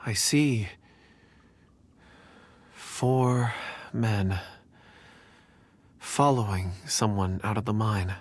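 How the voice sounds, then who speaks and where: Breathy, hesitant young man. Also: on the low side. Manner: halting, polite, hesitant. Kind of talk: video game character dialogue.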